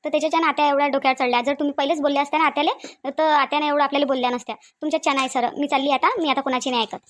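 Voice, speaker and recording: Woman playing a high-pitched, rapid-fire, aggrieved daughter-in-law, Varhadi accent. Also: fairly even intonation, natural voice. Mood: indignant. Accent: Varhadi Marathi. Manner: complaining, insistent, repeats herself. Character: aggrieved, stubborn young daughter-in-law in a family quarrel. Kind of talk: cartoon family drama.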